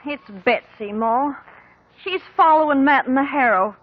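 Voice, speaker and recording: A woman's voice: high-pitched, unhurried. An actress playing an anxious, protective young farm woman with a rural American accent in a 1950s radio drama. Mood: fretful. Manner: fretful, earnest. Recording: muffled old radio recording.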